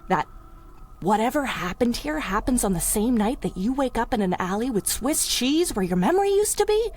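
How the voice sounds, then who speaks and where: An actress playing a wry, loyal, worried woman in a 1930s-style adventure radio drama, with slangy, expressive delivery. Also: on the high side, moderate pace, a little hiss or hum. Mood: frightened, urgent.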